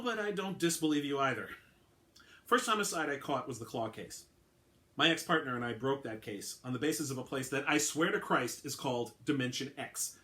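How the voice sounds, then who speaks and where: A man voicing a level-headed female police detective who asks calm, dry, probing questions. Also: mid-range voice.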